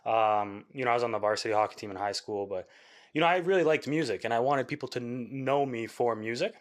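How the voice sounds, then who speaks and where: A young man, a musician from Canada, talking casually in an interview, rambling with lots of fillers and quick laughs. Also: medium pitch. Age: young adult. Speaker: musician guest.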